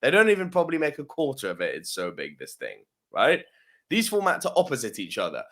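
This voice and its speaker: A man's voice: medium pitch, huge pitch swings, a little fast, fairly bright tone. A man co-hosting a podcast, telling a long story casually, swearing freely, laughing often and asking "yeah?" as he goes. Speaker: podcast co-host.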